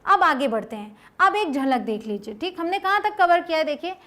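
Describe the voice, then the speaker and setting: A woman explaining interview introductions in Hindi and reading English examples out slowly, as an English teacher. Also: very high-pitched, very animated, fairly bright tone. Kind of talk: spoken English lesson.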